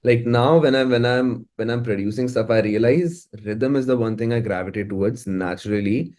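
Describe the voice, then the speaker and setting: A man's voice: medium pitch, moderate pace, darkish tone. A man with an Indian English accent, talking casually as an interviewed musician, often repeating words as he thinks.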